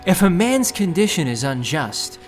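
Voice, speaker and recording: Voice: medium pitch, sing-song, moderate pace, faint music behind, natural voice. An actor playing an anxious, self-doubting, idealistic young thief who narrates their own story earnestly and intensely in an audio drama. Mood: earnest, self-mocking.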